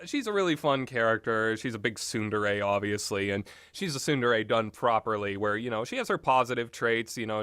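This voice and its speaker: Male game reviewer, medium pitch, dry recording, casual rambling delivery with animated, exasperated asides. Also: dry recording. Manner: animated, exasperated asides, acts out imagined quotes. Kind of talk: rambling casual opinionated commentary.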